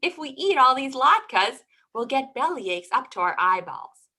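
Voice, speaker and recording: A woman putting on a shrill, exasperated folk-tale housewife. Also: big pitch swings, sharp tone, full character voice. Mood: groaning. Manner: exclaiming, moaning with a drawn-out "Oi".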